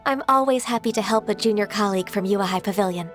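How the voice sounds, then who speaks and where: An actress playing a dutiful, modest secretary in a video game, formal, composed and deferential. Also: female voice, medium pitch, fast talker, a bit bright, faint music behind, close to an everyday voice. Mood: composed, helpful. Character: polite, modest, dutiful young-sounding woman secretary.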